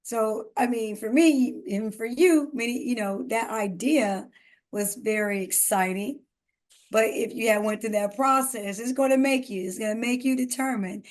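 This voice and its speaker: A woman's voice: fairly high pitch, normal speed. A woman co-hosting a podcast, talking earnestly and giving emphatic advice in a casual conversation. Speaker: podcast co-host.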